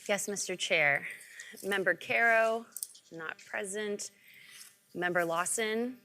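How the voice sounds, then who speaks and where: A woman serving as clerk at a public board meeting, reading the roll call and agenda items in a crisp, businesslike way. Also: mid-range voice, slow pace, bright, forceful tone, slight background noise. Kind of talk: formal meeting procedure.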